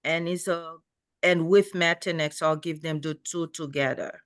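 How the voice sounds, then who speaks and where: A woman's voice: deep voice, fairly even intonation, moderate pace, no echo, clean studio sound. A middle-aged woman with a Haitian accent, explaining things as a podiatrist on a medical panel in a plain, anecdotal way. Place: online webinar call.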